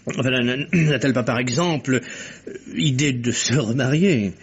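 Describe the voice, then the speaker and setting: An actor playing a bashful, lovestruck man, hesitant yet excitable, with halting theatrical delivery in a French radio drama. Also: medium pitch, slightly rough, natural voice. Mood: embarrassed, probing. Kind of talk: mid-century French radio drama acting. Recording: dull, muffled vintage radio recording.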